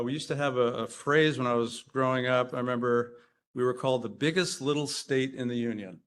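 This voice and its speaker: A man's voice: medium pitch, a bit bright. Chatty man presenting to a conference audience. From Rhode Island, USA. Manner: chatty and self-deprecating, quizzes the audience, frequent fillers. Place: conference hall.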